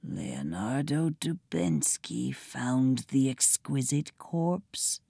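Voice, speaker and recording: Woman as a gloomy, hushed, low-voiced pig. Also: expressive, slow pace, dry recording, studio quality. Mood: hushed dread. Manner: mournful, hushed. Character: gloomy, defeated male pig guardian of children.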